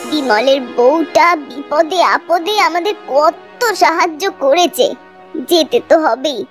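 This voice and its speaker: A woman's voice: high voice, slow pace. A woman voicing an affectionate, world-weary old grandmother in a cartoon, with background music. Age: older. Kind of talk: cartoon dialogue.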